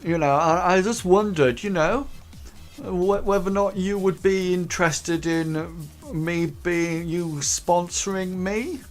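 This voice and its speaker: A man's voice: high voice, takes it slowly, soft background music. A man voicing a nervous, eager young male recruit who stammers constantly. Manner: stammering, hesitant.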